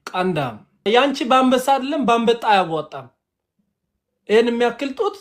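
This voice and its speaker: A man's voice: very high-pitched, big pitch swings, rapid-fire. Playful Ethiopian-accented man joking on a live stream. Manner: playful, teasing, switches between broken English and Amharic.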